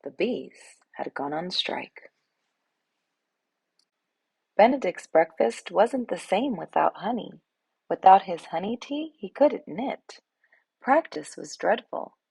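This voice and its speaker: A woman storyteller reads a picture book for children at an unhurried pace. Her voice is husky with some creak, and she reads expressively. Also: medium pitch, very animated, a little mellow, no echo. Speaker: read-aloud host, storyteller.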